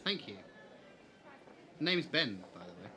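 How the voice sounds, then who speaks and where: A man's voice: mid-range voice, big pitch swings, takes it slowly, sharp tone, a little hiss or hum, full character voice. A man playing a candid, easygoing, teasing young man in a fan audio drama. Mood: charmed, curious.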